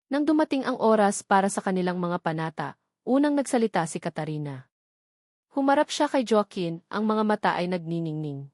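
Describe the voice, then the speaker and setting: A woman narrating a scripted romance audio drama in an even storytelling manner. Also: mid-range voice, no echo, clean studio sound.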